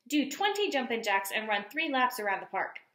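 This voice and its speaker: A woman's voice: a little high, very animated, moderate pace, fairly bright tone, reverberant. A woman voicing a bossy, brash, enthusiastic young girl coach in a storybook, exclaiming and giving commands. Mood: commanding, eager.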